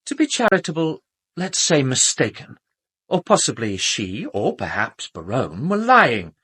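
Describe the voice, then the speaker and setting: A man's voice: medium pitch, wildly swooping pitch, measured pace, sharp tone, slightly rough, exaggerated voice. An actor with a British accent playing an arrogant doctor in a radio drama, haughty, precise and emphatic in his own defence. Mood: dismissive. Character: arrogant, self-justifying male doctor under police questioning.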